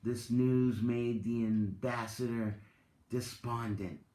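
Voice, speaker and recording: A man giving a dramatic reading with spoken commentary, deliberate and halting, in short phrases. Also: medium pitch, fairly even intonation, dark tone. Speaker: reader, content creator. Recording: roomy, echoing voice recording.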